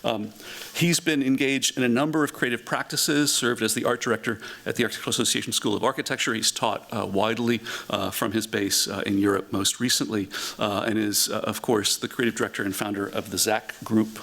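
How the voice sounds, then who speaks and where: A man introducing a guest lecturer in halting speech, full of uhs. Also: on the low side, steady tone, creaky, fairly bright tone. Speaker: lecture host introducing the speaker. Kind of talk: formal introduction. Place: lecture hall.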